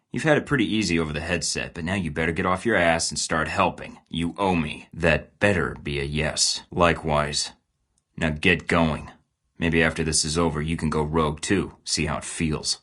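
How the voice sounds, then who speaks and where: Very deep-voiced sardonic spy. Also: male voice, fairly bright tone. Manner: dry, sarcastic, clipped lines. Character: male young-adult spy agent, blunt and sardonic. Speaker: video game protagonist. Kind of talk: video game dialogue.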